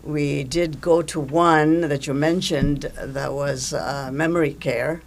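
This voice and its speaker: An older woman who coordinates events for a theater group, talking casually and haltingly in an interview. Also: low-pitched, steady tone, vocal fry.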